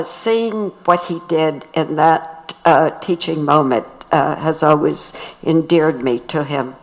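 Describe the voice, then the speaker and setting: Activist sharing a personal tribute: unhurried, halting, reflective, mid-pitched delivery. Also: poor audio. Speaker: activist speaker giving a tribute. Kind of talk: personal reminiscence at a tribute event.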